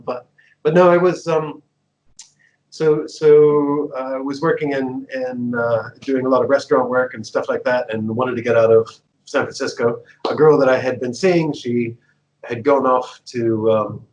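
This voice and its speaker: An American man in his fifties talks through his life story in a relaxed interview, voice pitched in the middle range. He hesitates, restarts and laughs often as he remembers. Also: poor audio. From United States. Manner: chatty, laughs often, many fillers and restarts. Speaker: guest.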